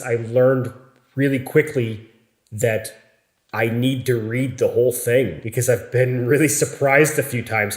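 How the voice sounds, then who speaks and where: An American man chats casually to camera, slow and deliberate, each word laid out clearly. His voice sits at an everyday middle pitch, and the sound rings a little in a roomy space. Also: darkish tone. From United States. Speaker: vlogger. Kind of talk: casual vlog talk to camera. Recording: echoey room.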